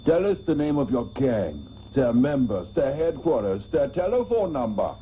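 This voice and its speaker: A man's voice: medium pitch, very animated, moderate pace, lightly acted. A man playing a mock-sinister, taunting interrogator in a comedic radio serial, theatrical and menacing. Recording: dull, faintly noisy radio tape.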